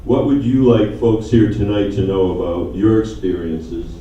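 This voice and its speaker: A man moderating a panel discussion, reading out questions in a measured way. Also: lowish voice, fairly even intonation, mellow. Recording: echoey hall, distant mic, muffled.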